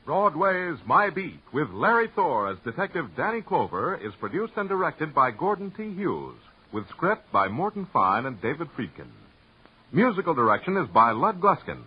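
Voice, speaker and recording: A man's voice: a little high, big pitch swings, moderate pace, breathy edge. An American man working as a network announcer, reading scripted copy in a polished, emphatic 1950s radio style. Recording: dull old radio broadcast, music behind.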